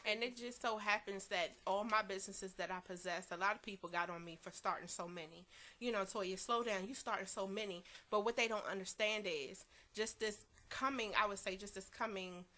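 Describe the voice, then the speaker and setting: A woman in her thirties leading a lively casual panel chat, laughing often and acting out what others said. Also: medium pitch, brisk, few pauses. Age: 30s.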